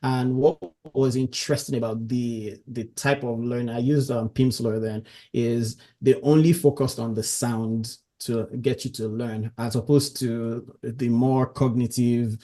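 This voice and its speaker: A man's voice: mid-range voice, steady tone, measured pace, few pauses, warm tone. A man from Nigeria with a Nigerian accent, chatting casually as a podcast guest and often chuckling as he talks. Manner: chuckles often while talking. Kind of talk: casual conversational interview.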